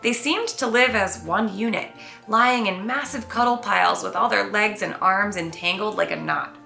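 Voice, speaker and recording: A woman, a children's author, reading and presenting her own storybook in an expressive read-aloud style. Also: medium pitch, moderate pace, vocal fry. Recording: roomy echo, faint music behind.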